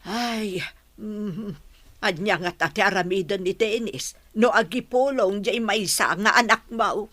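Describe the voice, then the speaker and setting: A woman's voice: medium pitch, a little slow, fairly bright tone, no echo. A woman playing an anxious older mother and grandmother in a radio drama, fretting and lamenting.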